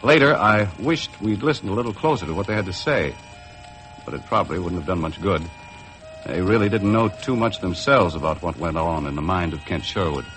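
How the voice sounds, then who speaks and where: Low-voiced, wry boat skipper. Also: male voice, brisk, a bit bright, poor audio. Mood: wry narration. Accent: American. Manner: dry, wry, understated. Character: laconic, worldly charter boat skipper and adventurer narrating his story. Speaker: narrator and lead actor. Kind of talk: 1950s American radio drama acting, first-person narration. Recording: vintage 1950s radio recording with music.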